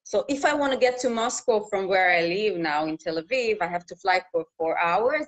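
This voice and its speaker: A woman's voice: medium pitch, fairly bright tone, poor audio. Russian-accented woman telling her story. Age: 30s. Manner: halting non-native English with many fillers and restarts. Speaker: guest, news reporter. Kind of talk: casual interview conversation.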